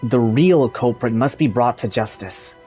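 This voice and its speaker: A man reading video game dialogue aloud in a halting, deliberate, amateur way. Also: mid-range voice, dark tone, faint music behind.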